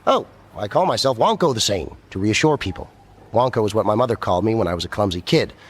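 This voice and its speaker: Eccentric gentle scientist, low husky voice, lively intonation, earnest, matter-of-fact, radio comedy. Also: male voice, quick pace. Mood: serene, matter-of-fact. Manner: calm, sincere, matter-of-fact about absurdities. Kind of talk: radio comedy drama acting.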